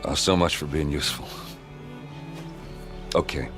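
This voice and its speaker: An actor playing a gruff, wounded warrior captain in a video game, halting and sardonic, with sighs. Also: male voice, extremely low pitch, fairly even intonation, fairly bright tone, faint music behind. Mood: bitter, resigned. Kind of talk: video game dialogue acting.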